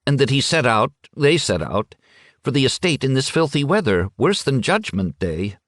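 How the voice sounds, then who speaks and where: A man reading an audiobook and voicing an anxious, nervous middle-aged village wife who talks in hesitant, hurried bursts. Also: medium pitch, sing-song, a bit bright, no room sound, studio quality, pitched above the performer's own voice. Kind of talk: audiobook character voice.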